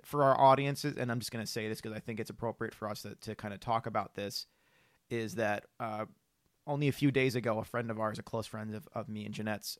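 A man hosting a casual podcast conversation, talking in a halting, rambling way with repeated words. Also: on the low side, no echo, clean studio sound. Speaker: podcast host.

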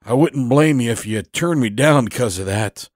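A man voicing a genial, boastful Navy veteran in his eighties for an audio storybook, folksy and orating, with drawn-out emphatic phrases. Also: medium pitch, expressive, dry recording, studio quality, close to an everyday voice. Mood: genial, pleading. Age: 80s.

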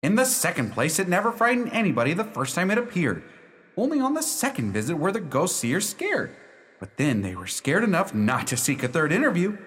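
A man's voice: high voice, huge pitch swings, brisk, fairly bright tone, exaggerated voice. An actor playing a proud young lawyer who tells his own ghost tale emphatically and theatrically in a radio play. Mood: wry. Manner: emphatic, storytelling. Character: proud half-Scottish, half-Yankee young male lawyer recounting his haunting. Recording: reverberant hall sound.

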